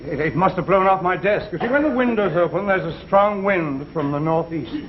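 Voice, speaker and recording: A man's voice: high voice, brisk, a crowd in the background, close to an everyday voice. A man playing a polite, evasive business executive in 1950s sketch comedy, stalling hesitantly. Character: courteous, evasive male business executive and sponsor. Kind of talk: 1950s radio-style sketch comedy acting. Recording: muffled vintage broadcast, clear noise, loud audience. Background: live studio audience.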